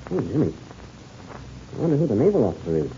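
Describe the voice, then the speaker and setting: A hearty, excitable grown man, a pilot in an old radio adventure serial. His pitch leaps about dramatically over a very dark tone. The old recording has a faint hiss. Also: fast, dull audio. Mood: baffled, urgent. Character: hearty, excitable adult American pilot, companion to a boy.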